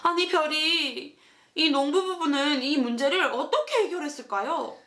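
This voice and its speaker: A woman hosting a children's show, reading a storybook and asking questions in an animated, sing-song, teacherly way. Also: sits high, normal speed, slight background noise. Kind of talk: storybook narration and chat with a child.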